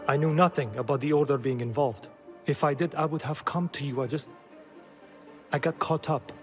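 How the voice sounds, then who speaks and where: Higher-voiced, evasive male informant, acted by a man. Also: steady tone, moderate pace, soft background music, lightly acted. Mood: evasive, earnest. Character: shady, evasive male informant, worldly and self-justifying. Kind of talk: dramatic game cutscene acting.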